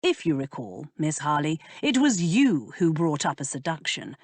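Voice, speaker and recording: A woman voicing a teasing aristocratic male earl who speaks in an unhurried, amused drawl. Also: low-pitched, big pitch swings, no echo, exaggerated voice.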